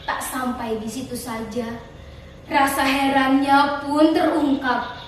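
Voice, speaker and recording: A woman's voice: high voice, steady tone, slow pace. A teenage young woman with a Central Kalimantan Indonesian accent, telling a folktale theatrically in a dramatic, declamatory contest style. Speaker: storytelling contestant. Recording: distant echoey room with faint noise.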